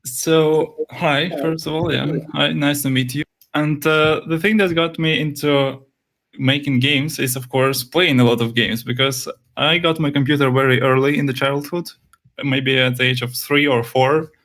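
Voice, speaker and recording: A man's voice: fairly high pitch, steady tone, moderate pace, a bit bright. A young man whose first language is Russian, a game developer answering interview questions hesitantly, with many fillers and restarts.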